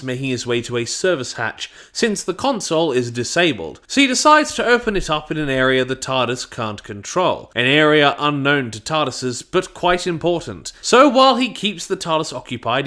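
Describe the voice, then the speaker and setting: A man's voice: on the high side, big pitch swings, normal speed, hardly any pauses, pushed and bright. A man narrating a scripted review, stressing his phrases emphatically.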